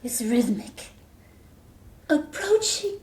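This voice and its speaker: A woman's voice: a little high, sing-song, slow pace, darkish tone. A woman voicing a grim, commanding piece of bubble gum in an audio comedy, with clipped, dramatic pronouncements in a roomy space. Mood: tense, commanding. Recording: roomy sound, faint background noise.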